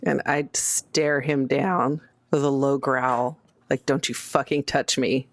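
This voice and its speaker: A woman's voice: very deep voice, steady tone, takes it slowly, dry recording. A woman playing a tabletop roleplaying game, joining in with casual, wry and joking banter.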